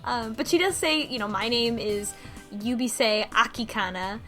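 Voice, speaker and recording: Woman w a highish voice doing a casual game recap, animated n amused, faint music. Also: normal speed. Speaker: podcast co-host. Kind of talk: casual recap chat about a video game.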